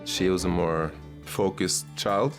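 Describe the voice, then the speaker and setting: Brother with a very deep gravelly voice. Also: male voice, steady tone, slow pace, over music. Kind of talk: documentary interview.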